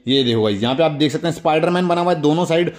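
A man's voice: fairly high pitch, very fast. A man with an Indian accent hosting a casual hands-on toy demonstration, chatty and repetitive, talking straight to the viewers. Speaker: toy review video host.

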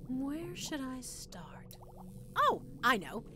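A woman's voice: high-pitched, huge pitch swings, slow, bright, forceful tone, faint music behind, exaggerated voice. A woman playing a sadistic, gleeful mad scientist in an audio roleplay, teasing her captive listener with sweet condescension. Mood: gleeful, proud.

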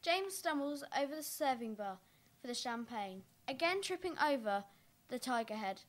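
High-voiced young woman narrator. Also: lively intonation, moderate pace. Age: young adult. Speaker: stage directions narrator. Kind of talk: scripted narration.

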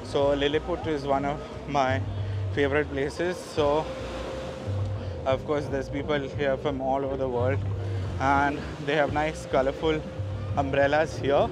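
A man's voice: medium pitch, flat delivery, a little slow, over music, poor audio. A man vlogging a walking tour of a beach, chatting casually to his viewers with plenty of fillers. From India. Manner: chatty, addresses viewers as guys, many fillers. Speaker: travel vlogger. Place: outdoors on a busy beach. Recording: outdoor noise behind the voice.

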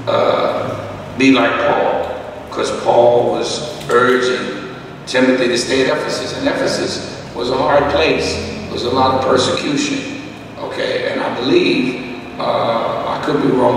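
A middle-aged man teaching a Bible study as pastor, talking conversationally with many fillers and a slightly rough voice, at a podium mic. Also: mid-range voice. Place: church hall, indoors. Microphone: podium microphone, far camera. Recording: echoey hall, distant mic, background hiss.

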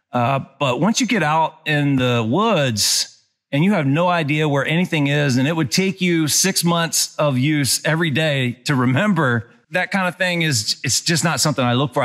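A man in his forties reviewing a hiking backpack in a casual, conversational way, filming himself on a wooded trail. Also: a little high. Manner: relaxed, conversational, many fillers. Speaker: outdoor gear reviewer. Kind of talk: casual gear review vlog. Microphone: handheld action camera at arm's length.

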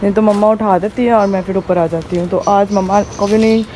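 A woman with a hoarse throat chats casually to camera as a vlogger, rambling with many fillers while filming on a rooftop. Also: medium pitch, fairly even intonation, brisk, mellow, slight background noise. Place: rooftop outdoors.

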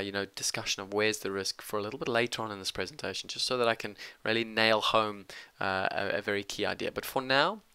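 Middle-aged male trading instructor, forceful and smooth tone, emphatic teaching delivery. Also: on the low side, no room sound. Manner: emphatic, punctuates points with "okay". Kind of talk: screen-share trading lesson.

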